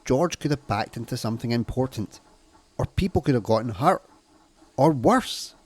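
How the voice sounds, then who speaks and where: A man's voice: medium pitch, expressive, normal speed, slightly rough. A man voicing a strict but fair railway controller in an amateur cartoon comic dub, firm and formal as he reprimands. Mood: stern, disappointed.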